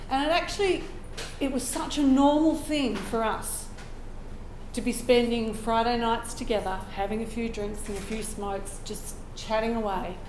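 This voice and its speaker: An Australian woman speaks to an audience in a slightly high voice, a little hoarse from a cold. She is earnest and reflective and clears her throat now and then. The room is echoey, with steady background noise. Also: normal speed. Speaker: open mic storyteller. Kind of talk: personal story told to a live audience.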